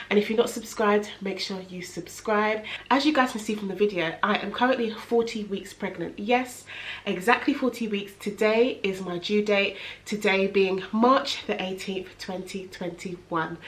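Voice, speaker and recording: A young woman, blocked up with a cold, chatting through a casual home vlog update. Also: medium pitch. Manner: chatty, rambling, many fillers. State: congested with a head cold. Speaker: vlogger. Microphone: camera in front of her.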